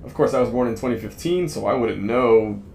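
Creaky-voiced young drifter played by a man. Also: medium pitch, a little fast, darkish tone, slight background noise, lightly acted. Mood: curious, casual. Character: young drifter on the run, curious, nervy apprentice. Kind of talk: sci-fi audio drama acting.

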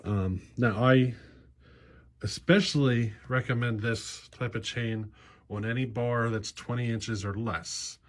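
A man in his forties talks casually in a cluttered garage workshop, his voice sitting at an ordinary middle pitch. He explains step by step in a plain-spoken, practical way, dropping in ums and you-knows. The sound comes from a handheld camera held close to him. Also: steady tone, takes it slowly. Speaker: chainsaw channel host.

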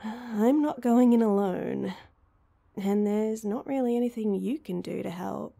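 A woman's voice: mid-range voice, a little slow, gravelly, dark tone. A woman playing an awkward, heartbroken young woman in an intimate roleplay audio drama, speaking hesitantly and with self-deprecation. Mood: sad, resigned.

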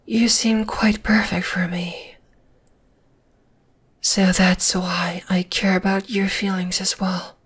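A woman voicing a possessive, gently dominating spider monster girl in an ASMR roleplay, in a slow, deliberate, breathy whisper. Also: mid-range voice, little pitch movement. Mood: tender, coaxing. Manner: slow, deliberate, soothing yet menacing.

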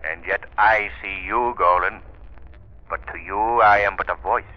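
An ominous unseen avenger from a vintage radio drama. His low, filtered, disembodied voice taunts with menace, on a muffled old recording. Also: fairly even intonation, moderate pace. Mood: menacing, mocking. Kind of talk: 1930s radio acting.

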